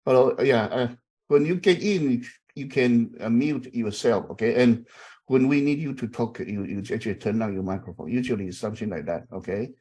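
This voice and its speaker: Halting, mellow-voiced older instructor. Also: male voice, mid-range voice, husky, poor audio. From Taiwan. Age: middle-aged. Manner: halting, many fillers and repeated okays. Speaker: university instructor. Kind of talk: online class, informal chatting. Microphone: video call.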